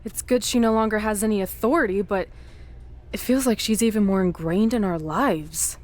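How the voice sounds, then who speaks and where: A woman's voice: mid-range voice, moderate pace, darkish tone, natural voice. A woman playing an exasperated, sarcastic female wrestler in a video game. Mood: disbelieving. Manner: wry, exasperated.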